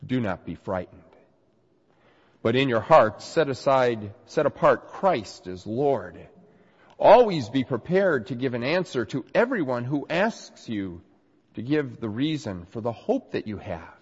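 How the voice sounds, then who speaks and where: A man preaching a sermon and reading scripture while getting over a cold, his voice a little nasal and raspy, in an earnest, emphatic manner. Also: medium pitch, big pitch swings, a little slow. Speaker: pastor. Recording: dull recording with long hall reverb.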